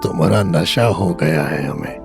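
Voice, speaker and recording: A man playing a low, gravelly, possessive older lover. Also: measured pace. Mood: besotted. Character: besotted, possessive older man, hard-drinking head of household. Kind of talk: Hindi audio drama acting. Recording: music bed behind the voice.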